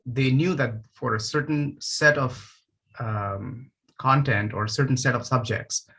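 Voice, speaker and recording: A man from Nepal in his forties, a panelist and education founder, speaking on a video call in a measured, thoughtful way with frequent fillers. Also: medium pitch, a bit bright. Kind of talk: online panel remarks.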